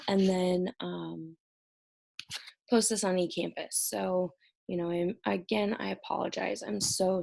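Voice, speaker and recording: Young woman with vocal fry. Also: fairly low pitch, a little mellow. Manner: conversational, explanatory teaching. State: stressed and short on sleep. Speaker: college instructor. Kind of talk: recorded exam review lecture. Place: at home.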